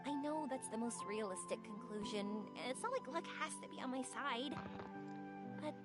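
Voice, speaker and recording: A woman's voice: on the high side, moderate pace, lightly acted. A woman playing an earnest, upbeat young woman on a journey in a video game, speaking candidly. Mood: earnest, hopeful. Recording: loud game music behind the voice. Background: game music.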